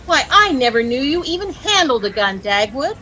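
An actress with an American accent playing a chirpy, easily dazzled young housewife who gushes and lightly scolds in a 1940s radio comedy. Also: female voice, sits high, sing-song, normal speed, bright, forceful tone, full character voice. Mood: curious, teasing. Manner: gushing, breathlessly admiring, lightly scolding. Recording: noisy old-time radio recording.

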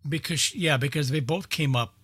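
A man's voice: a little high, moderate pace, a bit bright, breathy edge, no room sound. A man chatting informally in a group, with a wry, easygoing way of talking. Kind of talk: casual group chat.

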